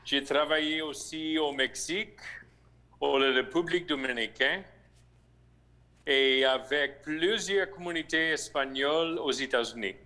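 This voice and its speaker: A priest with an American accent and English as his first language, answering interview questions slowly and haltingly, with restarts. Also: fairly high pitch, sharp tone. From United States (Missouri). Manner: slow, halting, with restarts and searched-for words. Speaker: rector of a shrine, priest.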